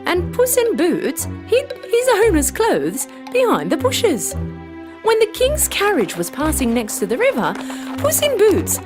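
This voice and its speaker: A woman narrating a children's fairy tale from a script in a dramatic, expressive storytelling manner. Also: very high-pitched, unhurried. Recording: music bed behind voice.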